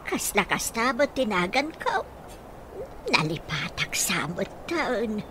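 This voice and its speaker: A woman's voice: mid-range voice, very animated, takes it slowly, noisy background. An actress playing a confused, forgetful old grandmother in a radio drama, hesitant and questioning. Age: older. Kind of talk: Ilocano radio drama acting.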